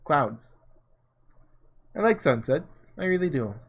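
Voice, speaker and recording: Higher-voiced man musing over a game, lively. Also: slow pace. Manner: musing, thinking aloud. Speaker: let's play host reading a visual novel aloud. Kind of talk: casual gameplay commentary mixed with read-aloud dialogue. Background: background music.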